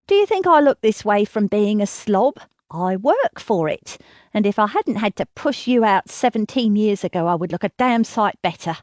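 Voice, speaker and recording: A woman's voice: animated, normal speed, darkish tone, dry recording, studio quality, lightly acted. A woman voicing a cruel, self-pitying, volatile middle-aged mother who berates and pleads by turns. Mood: berating. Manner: shrill, berating, swinging to sobbing pleas.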